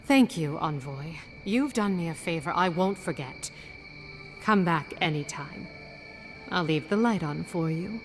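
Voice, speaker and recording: A woman playing a guarded, wry, world-weary protector of her people in a video game, with a dry and measured delivery. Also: lowish voice, lively intonation, soft background music. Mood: wry, softening.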